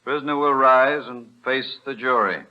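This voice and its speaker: An actor playing a stern, formal courtroom judge, commanding, in 1940s radio thriller style. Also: male voice, medium pitch, moderate pace, close to an everyday voice. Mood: solemn. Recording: dull vintage radio recording.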